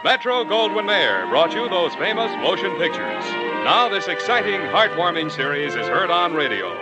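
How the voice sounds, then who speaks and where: A man's voice: high voice, big pitch swings, normal speed, over loud music, rough recording. Grand old-time radio announcer, man. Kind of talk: 1950s radio drama announcing.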